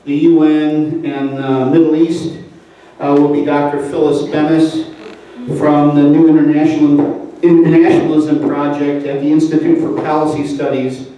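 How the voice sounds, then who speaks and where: A man hosting a community event, reading announcements in a halting way with lots of fillers. His voice is mellow and level, and it comes from far off in a very echoey church hall. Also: a little high, flat delivery. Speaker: event host. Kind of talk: announcements and speaker introduction.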